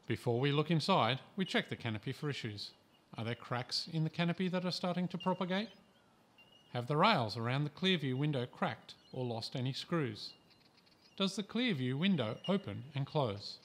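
A man from Australia reading a scripted training voice-over in a calm, measured, instructive way. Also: a little high, slight background noise. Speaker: instructional narrator.

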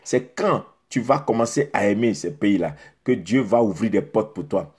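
A man's voice: lowish voice, expressive, moderate pace, a little mellow, slightly rough. A middle-aged man, preaching a sermon straight to the camera, exhorting with rhetorical questions and emphatic repetition. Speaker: pastor. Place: indoors in front of a beach backdrop.

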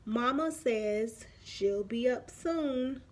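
A woman in her thirties reading a picture book aloud expressively for young children, pausing for explanatory asides. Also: fairly high pitch, a little hiss or hum. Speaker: children's story reader. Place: small room at home, indoors.

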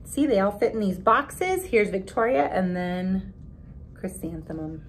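A woman in her thirties, a teacher giving an expressive children's read-aloud with chatty asides, emphatic and speaking directly to the kids. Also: unhurried. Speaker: teacher reading a picture book aloud. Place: small indoor room with bare wall. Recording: steady background noise with low rumble.

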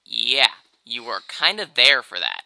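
An amateur performer voicing a whiny, exasperated boy hero with a sarcastic, halting, casual delivery in a video game parody. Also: mid-range voice, wildly swooping pitch, creaky, bright, forceful tone, exaggerated voice, low-quality recording.

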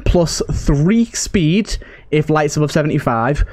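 A man's voice: fairly high pitch, animated, slow, darkish tone. A man giving casual let's-play commentary as a host, thinking aloud and repeating himself. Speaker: gaming video host.

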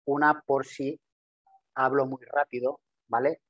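A man in his thirties who is not a native speaker, giving a webinar presentation over a video call, quick and full of restarts and repeated words. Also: mid-range voice, flat delivery, a bit bright, dry recording, highs cut off. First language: not Spanish. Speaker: webinar presenter, systems engineer. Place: home office with virtual background. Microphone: video call webcam.

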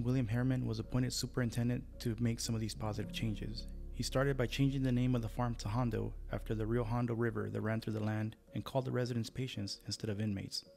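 Guy reading a history doc script quick, gravelly voice, music under it. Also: medium pitch. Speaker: history channel narrator. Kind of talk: scripted documentary narration.

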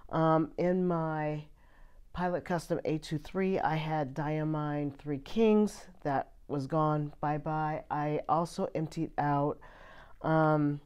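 Woman vlogger with a low voice that's still off from a cold; talks in a loose, chatty way with lots of ums. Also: a little mellow. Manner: chatty, rambling with many ums. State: recovering from a cold, voice a bit off. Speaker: fountain pen channel host. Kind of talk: casual vlog chat. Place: at a desk indoors.

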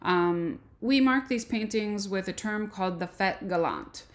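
A woman giving a recorded art history lecture over slides, pausing often with ums. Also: mid-range voice. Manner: slow, with frequent ums and pauses.

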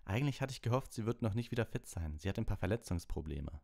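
A man acts a driven teenage boy captain for an audiobook. He is earnest and businesslike, with a raised, lively voice. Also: on the low side, quick pace, gravelly, pitched above the performer's own voice. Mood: intent. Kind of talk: audiobook character voice.

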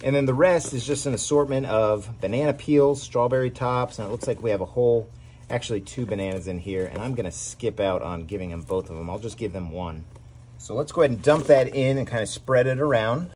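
A man hosting a casual how-to video, calmly explaining each step as he works on his back porch. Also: medium pitch, a little fast, slight background noise. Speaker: worm farming channel host. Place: outdoor covered back porch.

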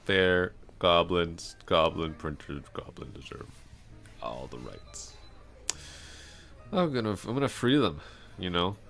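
A man playing a drunk, awkwardly smitten office coworker in an intimate ASMR roleplay, rambling, hesitant and trailing off in a slurred, gravelly voice. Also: low-pitched, animated, takes it slowly, a bit bright, soft background music, full character voice. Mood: drunken rambling.

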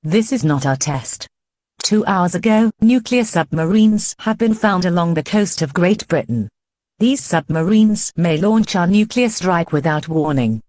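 Female broadcast announcer, slightly low voice, formal and impersonal synthetic emergency read. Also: expressive, a little slow, a little mellow, no room sound.

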